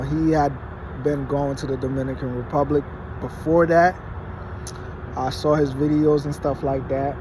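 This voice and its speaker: A man in his late twenties, born in Haiti, talking casually to his phone in a vlog with many fillers and restarts. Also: medium pitch. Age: late 20s. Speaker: vlogger. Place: parking lot at night, outdoors. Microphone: phone propped against a wall. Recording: noisy outdoor phone recording. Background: traffic and car noise.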